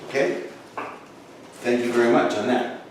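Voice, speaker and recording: A man's voice comes from across a very echoey room with clear background noise. He chairs a board meeting in plain procedural talk, informal and halting, often correcting himself. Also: mid-range voice, fairly even intonation. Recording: echoey meeting room, distant mic, noisy.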